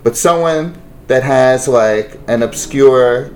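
A man's voice: mid-range voice, takes it slowly, a little hiss or hum. Rambling New man in casual chat. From New York. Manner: rambling, animated storytelling with many fillers.

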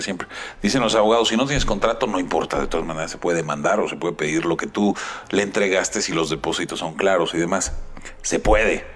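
Husky, low-voiced Mexican man. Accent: Mexican Spanish. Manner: joking, teasing, drawn-out. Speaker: podcast co-host, architect. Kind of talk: casual chat.

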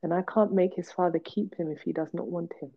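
A woman's voice: on the low side, steady tone, fairly quick. A woman, hosting a podcast and telling her own story bluntly and emphatically. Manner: emphatic, blunt, repetitive for stress. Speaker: podcast host. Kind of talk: candid personal storytelling. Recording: dull lo-fi podcast audio.

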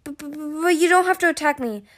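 A woman's voice: high voice, fast talker, a bit bright, voice pushed up. A woman voicing a big female dragon from a storybook, whining, stammering and protesting in a flustered way. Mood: whiny pleading. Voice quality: raised, whining tone.

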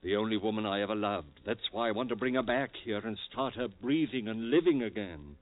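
Actor as obsessed, low-voiced surgeon on tinny old radio. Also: male voice, a little fast, natural voice. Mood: fervent pleading. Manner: fervent, insistent, theatrical. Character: obsessed surgeon driven to revive his dead wife, intense and persuasive. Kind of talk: old-time radio horror acting.

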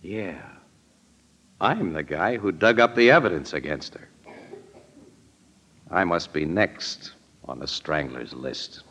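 An actor playing a wry, streetwise crime photographer, laconic and slangy, in a 1940s radio drama. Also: male voice, sits low, lively intonation, slow pace, long pauses, fairly bright tone, exaggerated voice. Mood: grim, knowing.

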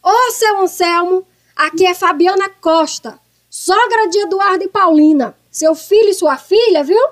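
A woman's voice: very high voice, measured pace. A woman with a Northeastern Brazilian accent playing an irascible, domineering, scolding mother-in-law in a comic radio soap. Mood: indignant, exasperated. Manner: scolding, exclamatory. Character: irascible, domineering middle-aged mother-in-law, loud and theatrical.

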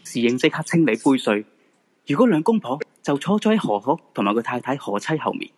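A man's voice: mid-range voice, very animated, brisk, husky. A man with a Hong Kong Cantonese accent narrating a scripted ghost-story radio drama. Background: background music.

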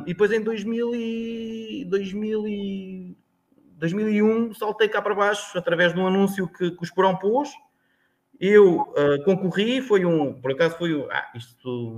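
Chatty portuguese man, many restarts. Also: sits high. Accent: European Portuguese. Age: 40s. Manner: rambling, many restarts and fillers. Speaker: guest. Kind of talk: casual interview chat.

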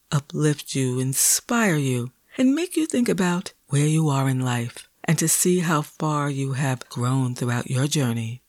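A middle-aged woman hosting a poetry podcast with a soothing, deliberate, affirming delivery. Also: deep voice, a little mellow, no room sound, clean studio sound. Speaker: podcast host, poet. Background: music.

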